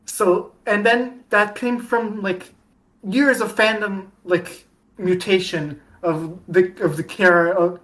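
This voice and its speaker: A woman's voice: mid-range voice, steady tone, takes it slowly, rough recording. A woman chatting casually as a podcast guest, rambling with many restarts and fillers. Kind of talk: casual chat.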